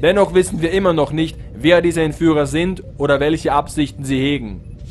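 A man acts out a male starship captain for a comedic space radio play. His voice sits high and he is excitable and wisecracking. Soft music plays behind him. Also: moderate pace, lightly acted. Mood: pompous, businesslike. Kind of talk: comedic sci-fi audio drama.

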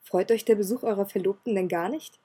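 A suave demon butler, courteous and quietly amused, every phrase smooth and measured, as a woman narrator voices him. The tone is mellow and soft with a husky grain. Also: mid-range voice, natural voice. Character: suave, polite demon butler, adult male.

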